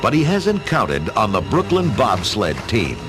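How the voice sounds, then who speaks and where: A man narrating an audio drama from a script, with expressive, dramatic storytelling delivery. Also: lowish voice, unhurried, over music.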